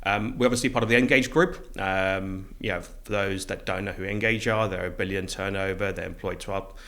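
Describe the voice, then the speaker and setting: Man, middle-aged, from South Africa, fairly low pitch, conversational interview guest. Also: steady tone, fairly quick, fairly bright tone. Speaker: interview guest, recruitment business co-founder.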